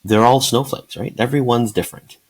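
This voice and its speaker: A man in his forties who is the guest in an interview, answering in a thoughtful, halting way. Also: medium pitch, poor audio. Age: 40s. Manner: thoughtful, halting, many fillers and restarts. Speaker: guest, sales leader.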